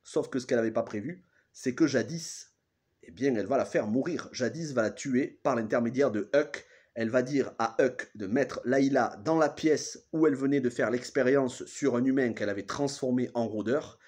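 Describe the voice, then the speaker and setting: A man's voice: medium pitch, moderate pace, a little mellow. Guy in his 30s with a cold, stuffy, going over an episode plot, lots of stops and self-corrections. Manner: hesitant, restarts and corrects himself often. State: has a cold. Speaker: TV series reviewer. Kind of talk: casual spoken episode recap.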